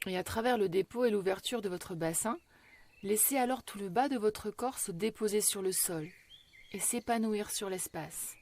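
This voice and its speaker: Female meditation guide, mid-pitched; calm, unhurried, soothing delivery with generous pauses between phrases. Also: slight background noise. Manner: slow, measured delivery with long pauses. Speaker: yoga nidra meditation guide.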